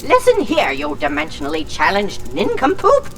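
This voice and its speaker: A woman's voice: high voice, huge pitch swings, normal speed, gravelly, slight background noise, full character voice. A woman voicing a crazed, grandiose sentient shard that babbles theatrical insults in a sci-fi audio drama. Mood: haughty, indignant. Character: manic, rambling sentient shard with a crazy voice.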